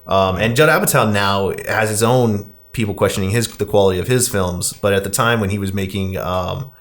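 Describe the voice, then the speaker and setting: A man with a fairly low voice talks through a film as a podcast host. His delivery is casual and conversational, with a wry, critical edge. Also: brisk.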